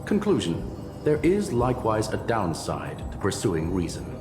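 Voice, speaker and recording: A detached robot genius. He talks in a measured, analytical way, with a very low voice. A long reverb and quiet music sit behind him. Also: huge pitch swings. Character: aloof male robot genius scholar. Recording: roomy reverb with game music.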